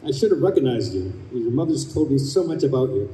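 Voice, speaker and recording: An actor playing a polite, eager young office assistant in an old-time radio style stage play. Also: male voice, mid-range voice, sing-song, fairly quick, dark tone, close to an everyday voice. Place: convention room stage performance. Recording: distant echoey room, faint noise.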